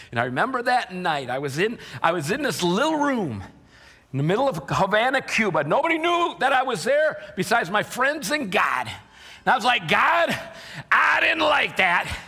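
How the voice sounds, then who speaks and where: A middle-aged man preaching in a large church hall, with a long reverb trailing his words. His voice sits a bit high and bright. He tells his story in a sermon style that swings between loud, impassioned outbursts and quiet, hushed passages. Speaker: pastor.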